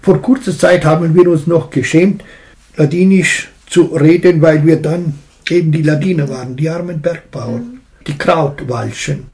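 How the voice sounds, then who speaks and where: A man's voice: a little high, moderate pace, a little mellow. A man from Tyrol, speaking plainly in an interview. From South Tyrol. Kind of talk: interview statement. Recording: echoey room with faint music.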